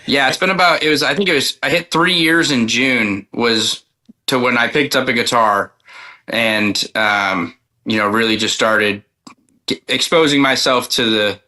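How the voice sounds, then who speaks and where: A man's voice: medium pitch, a little slow, sharp tone. A man chatting casually as an interview guest, a country singer-songwriter telling personal stories in a loose, rambling, informal way.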